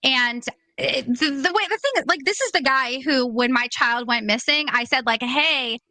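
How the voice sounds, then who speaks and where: A woman hosts a podcast, her voice bright and high. She talks in a casual, blunt way and states her opinions plainly, and the sound is dry and close. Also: normal speed, no echo. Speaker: podcast host.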